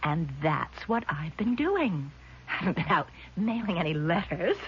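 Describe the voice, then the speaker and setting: A woman's voice: fairly low pitch, very animated, measured pace, breathy edge, full character voice. An actress playing an agitated, confused woman in a 1970s radio drama, emphatic and indignant but faltering when doubted. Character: agitated, confused woman insisting on her memory. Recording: muffled vintage radio broadcast, faint noise.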